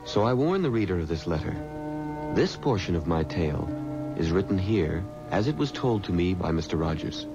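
A man's voice: sits low, very animated, moderate pace. An actor playing a fearful, cautious young townsman, anxious and earnest, in 1970s radio drama style. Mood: grave recounting. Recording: dull old radio recording under music.

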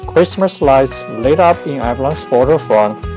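Music plays clearly beneath a man's voice. He narrates a travel vlog from a script at a middle pitch. His delivery is measured, set out phrase by phrase. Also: expressive, darkish tone. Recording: music under the voice. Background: background music.

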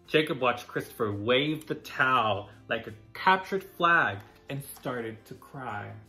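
A man narrating a children's picture book as a drag storytime reader, dramatic and deliberate. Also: medium pitch, lively intonation, sharp tone, faint music behind. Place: small furnished room indoors.

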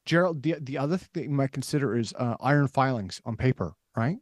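Man, middle-aged, casual host, medium pitch, dry close studio microphone. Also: expressive, a little fast, no room sound. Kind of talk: casual moderating of an online open mic. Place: indoor studio. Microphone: studio microphone on boom arm.

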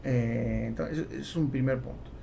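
A man in his forties with a Spanish accent in Portuguese, talking casually and haltingly as a podcast guest. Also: mid-range voice, creaky, dark tone. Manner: halting, with repeated words. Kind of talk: casual interview talk. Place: small foam-padded podcast studio. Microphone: close studio microphone on boom arm. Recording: faint background noise.

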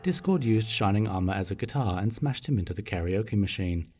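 A man's voice: on the low side, animated, brisk, warm tone, faint music behind. A man giving scripted narration as the storyteller of a fan-made radio play.

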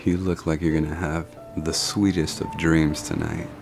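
Young guy doing asmr doting boyfriend, really low gravelly voice, soft and intimate, music behind. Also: little pitch movement. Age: young adult. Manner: soft, slow, intimate, tender murmur with long pauses. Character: tender, doting boyfriend on holiday. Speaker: ASMR role-play performer. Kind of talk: ASMR boyfriend role-play.

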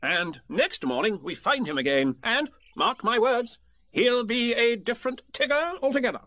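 A man's voice: expressive, sharp tone, no room sound, exaggerated voice. A man reading a storybook aloud, voicing a fussy, self-important male rabbit. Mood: bossy. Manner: brisk, self-important, high-pitched.

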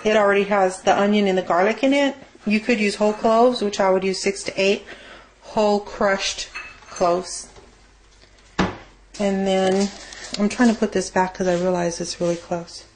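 A woman's voice: mid-range voice, steady tone, moderate pace. A woman giving a casual, rambling, unscripted cooking demonstration as a home cook in her kitchen. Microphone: handheld camera mic. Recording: noisy amateur camera audio with clicks.

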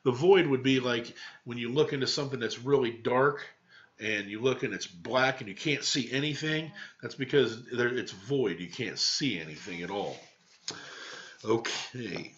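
A man's voice: medium pitch, moderate pace, a bit bright. Male teacher, middle-aged, story read-aloud in a plain-spoken way, lo-fi home recording. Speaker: teacher reading to students. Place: small home room with cabinets, indoors. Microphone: webcam microphone at arm's length. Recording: dull webcam microphone sound.

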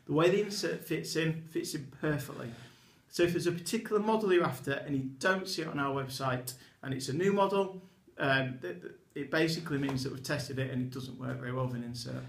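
A chatty, enthusiastic man in his thirties gives a product walkthrough at a desk, his voice sitting a little high for a man. The small room around him adds a clear echo. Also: fairly quick. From England. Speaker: eyewear retailer presenting products. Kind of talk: product demonstration to camera. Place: small indoor office with a poster behind a desk. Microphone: camera in front at desk distance.

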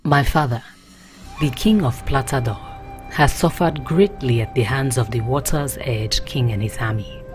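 Woman acting as fantasy warrior princess, low pitch, regal, solemn, resolute, dramatic trailer monologue, faint music. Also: a little slow, darkish tone. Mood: solemn resolve.

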